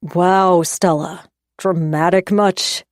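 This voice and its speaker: A woman voicing a young man, an angry and jealous boyfriend, who talks in raised, sharp and scornful lines. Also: fairly low pitch, steady tone, takes it slowly, no room sound, clean studio sound.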